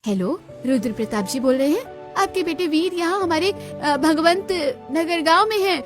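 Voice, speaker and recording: Woman doing a worried young village woman, pretty high voice, earnest story drama, light music. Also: moderate pace. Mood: urgent. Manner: earnest, urgent, expressive. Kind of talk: dramatized moral story acting.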